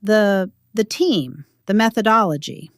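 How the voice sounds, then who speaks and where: A woman's voice: medium pitch, lively intonation, a little slow, no room sound, clean studio sound. Woman voicing a self-important, hedging male analyst. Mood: flustered. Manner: hedging, would-be authoritative.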